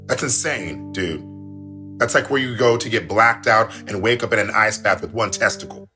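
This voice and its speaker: An actor playing a jittery, paranoid young male flatmate in a radio play, excitable and slangy. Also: fairly low pitch, normal speed, faint music behind. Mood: frantic.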